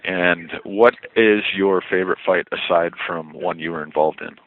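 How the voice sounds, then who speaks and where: A man's voice: deep voice, steady tone, normal speed. A male reporter asking fighters questions on a conference call in a friendly, polite way. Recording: tinny phone line with faint hiss.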